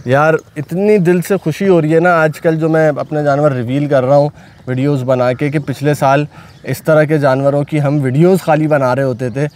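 A man's voice: a little high, steady tone, moderate pace, darkish tone, slight background noise. A young man with a Pakistani Urdu accent, a cattle farm owner chatting casually and enthusiastically from behind the camera outdoors. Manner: enthusiastic, repeats blessings often. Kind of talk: casual vlog narration.

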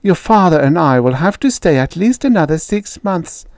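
A frail storybook mother, put on by a man, pitched well up. She is timid and anxious, speaking softly and pleadingly in a dry, close recording. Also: big pitch swings, normal speed, dry recording. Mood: apologetic. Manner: soft, pleading.

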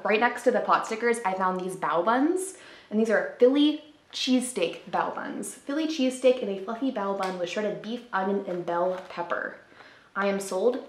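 A woman's voice: mid-range voice, echoey room, a little hiss or hum. A woman in her twenties chatting casually to camera in her living room, upbeat and full of "like" fillers, showing off groceries. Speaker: lifestyle vlogger. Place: living room at home, indoors.

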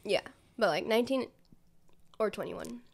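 A woman's voice: mid-range voice, animated, moderate pace, vocal fry. A woman in her twenties co-hosting a podcast in casual chat, getting over a cold with a dry throat, talking at a studio mic. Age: 20s. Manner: chatty, laughs easily, trailing sentences. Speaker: podcast co-host. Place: small studio room with purple lights, indoors. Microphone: studio mic on a stand with headphones.

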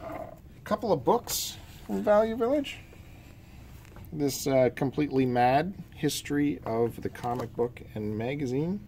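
A man's voice: a little high, animated, unhurried, warm tone. A man speaking in casual, off-the-cuff chat as he shows off purchases, recorded indoors on a handheld phone. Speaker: podcast co-host showing collection pickups. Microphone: handheld phone camera mic. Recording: noticeable background noise, amateur phone video.

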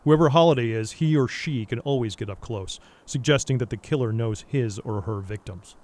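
An actor playing a grim, stern vigilante detective in a noir radio drama, terse and brooding. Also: male voice, mid-range voice, moderate pace, a little mellow, close to an everyday voice.

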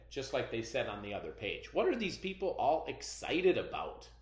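A middle-aged American man giving a reading lesson to children as their teacher, expressive and fond of posing questions. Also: medium pitch. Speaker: elementary school teacher. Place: indoors, roomy-sounding room. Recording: echoey room sound.

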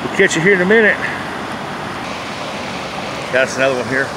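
A man's voice: mid-range voice, lively intonation. A middle-aged man, chatting casually in a folksy, plain-spoken way for an outdoor fishing vlog. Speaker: fishing vlogger. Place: outdoors on a rocky riverbank. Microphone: handheld phone at arm's length. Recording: noisy outdoor phone video, rushing water. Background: rushing river water and outdoor ambience.

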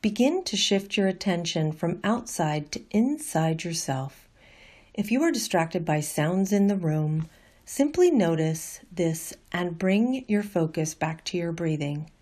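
A woman with a mellow, fairly low voice leads a guided meditation. She reads her scripted instructions gently and calmly. Her delivery is unhurried, like a teacher walking listeners through each step.

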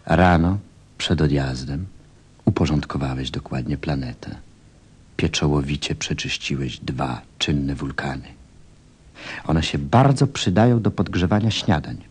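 A man playing a grave, gentle narrator and confidant, telling a story in a measured, reflective way. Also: rumbling low, slightly rough, full character voice. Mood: solemn storytelling.

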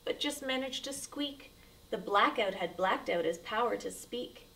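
Expressive woman reading aloud, slightly distant mic. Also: on the high side, measured pace, vocal fry. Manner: dramatic, emphatic delivery for children. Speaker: read-aloud storyteller. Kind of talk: expressive picture book read-aloud in rhyming verse.

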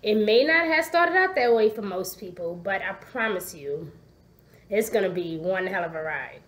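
A woman's voice: medium pitch, moderate pace. Congested woman chatting to her webcam. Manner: chatty, animated, gestures a lot, asks "okay?" often. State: congested, hoarse from allergies. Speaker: vlogger. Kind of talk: casual personal storytelling to camera. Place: living room at home. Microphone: laptop webcam.

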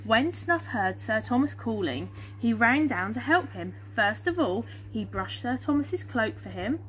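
An English woman in her thirties reads a children's story aloud. Her voice sits a little high. She is recorded outdoors, with a faint hum behind her. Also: animated, unhurried, poor audio. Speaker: storyteller reading to schoolchildren. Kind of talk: reading a children's picture book aloud. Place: small fenced garden, outdoors. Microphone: camera or phone a short distance away.

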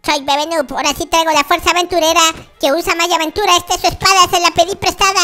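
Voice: very high voice, flat delivery, fast, pushed and bright, faint music behind. A gaming YouTuber voicing a boastful, whiny noob baby boy in exclamatory, babyish video game roleplay.

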